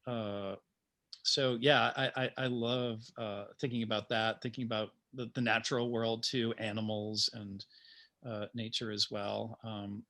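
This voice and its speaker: A man speaking informally as a guest playwright about his creative process, in a halting, thoughtful manner. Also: fairly low pitch, little pitch movement, bright, forceful tone. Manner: halting, thoughtful, chuckling asides.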